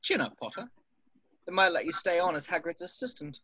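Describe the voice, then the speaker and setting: A man's voice: a little high, animated, a little fast, sharp tone, husky, no echo, exaggerated voice. A man playing a gloating, sneering schoolboy bully in an amateur table read.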